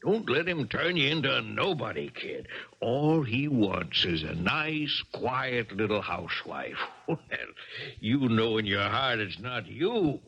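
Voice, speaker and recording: An actor playing a pushy, ambitious middle-aged father with a husky voice in a 1970s radio drama. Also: medium pitch, big pitch swings, unhurried, fairly bright tone, heavily acted. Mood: fervent, coaxing. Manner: pushy, pleading, emphatic. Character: domineering, ambitious father of a tennis champion daughter. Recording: dull old radio broadcast.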